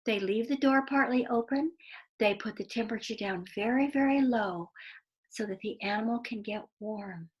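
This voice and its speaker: A woman reading a children's story aloud in a deliberate, explanatory way, with asides to young listeners. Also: on the high side.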